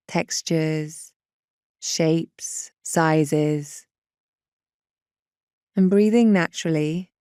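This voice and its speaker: A woman leads a guided meditation in a soft-edged, relaxed voice. She speaks very slowly and reassuringly, with a smooth, clean tone. Her manner stays gentle and unhurried throughout. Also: lowish voice, dry recording, studio quality.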